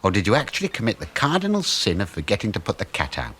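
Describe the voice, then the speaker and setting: An actor in a radio play voicing a cheeky, breezy middle-aged British suburban husband who teases and muses like a know-all. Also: male voice, lowish voice, huge pitch swings, quick pace, fairly bright tone, husky, full character voice. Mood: teasing, needling. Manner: breezy, teasing, musing.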